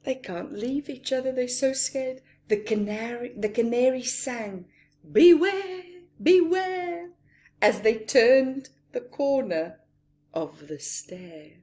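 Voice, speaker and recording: Woman, higher-pitched, very slow pace, expressive children's read-aloud, hushed asides. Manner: acts out characters, pausing for asides to listeners. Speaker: children's story reader.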